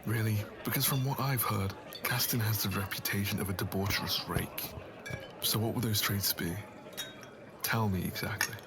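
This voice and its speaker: Wounded, jealous young man, acted, lowish voice. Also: fairly even intonation, moderate pace, noisy background, natural voice. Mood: bitter. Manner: earnest, bitter, indignant. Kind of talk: dramatic conversational roleplay voice acting.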